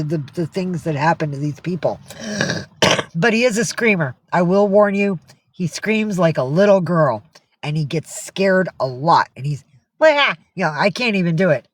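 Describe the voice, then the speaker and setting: A woman's voice: fairly low pitch, a little hiss or hum. A woman hosting a casual livestream from home, talking in a rambling, blunt and sweary way. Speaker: livestream host. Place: indoors at home with cats.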